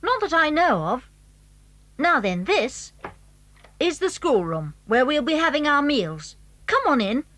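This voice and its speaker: A woman's voice: high-pitched, very animated, unhurried, pauses often, a bit bright, exaggerated voice. A woman playing an eager, confident English schoolboy in a children's audio drama. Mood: confident, brisk.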